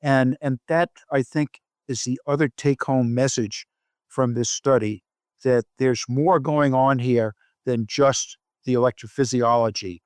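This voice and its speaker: A man speaking as a physician researcher in an interview, explaining study findings in a measured, deliberate way with halting phrasing. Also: mid-range voice, steady tone, darkish tone, clean tone, no echo, studio quality.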